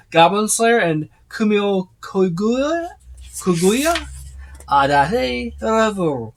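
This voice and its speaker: A video host giving a casual, unscripted overview in a rambling, explanatory way with informal asides. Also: medium pitch. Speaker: host of tabletop gaming video channel.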